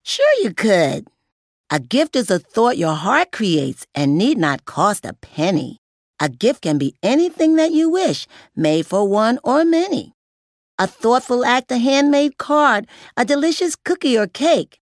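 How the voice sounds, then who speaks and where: A man's voice: very high voice, very animated, unhurried, no echo, studio quality, pitched above the performer's own voice, heavily acted. A man reading a storybook aloud and voicing a cheerful young boy who loves to sing. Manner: upbeat, bouncy, sing-song.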